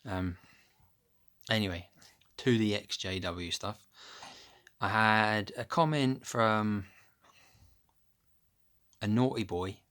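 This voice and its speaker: A man with a deep voice chatting casually to his phone camera at home, rambling and losing his thread with plenty of ums. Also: takes it slowly, long pauses, a bit bright. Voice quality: low, deep voice. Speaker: vlogger. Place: at home, upstairs room. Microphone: phone camera held close.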